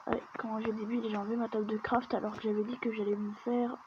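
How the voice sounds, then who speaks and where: A woman's voice: a little high, fast talker. A woman giving casual let's-play commentary, chatting and muttering to herself as she plays, often dropping to a near-whisper. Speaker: gaming video creator. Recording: dull lo-fi mic with steady background noise.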